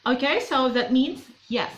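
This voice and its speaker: A woman's voice: high voice, unhurried, reverberant. A young Filipino woman with a Filipino accent, chatting upbeat and playful in a casual vlog to camera in a small bedroom. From Philippines. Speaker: vlogger.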